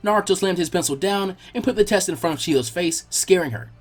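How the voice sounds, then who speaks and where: A man reading fan fiction aloud as an expressive scripted narration. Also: sits high, quick pace, faint music behind.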